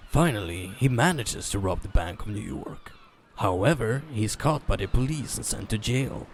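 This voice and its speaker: A man's voice: on the low side, expressive, moderate pace, slight background noise. Man giving a dramatic story narration.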